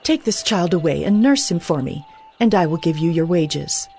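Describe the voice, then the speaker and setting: A royal princess of Egypt, compassionate yet commanding, in a biblical audio drama. Her voice sits low, with faint ambient noise behind. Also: expressive, moderate pace, warm tone. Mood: gentle command. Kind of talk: audio Bible drama acting.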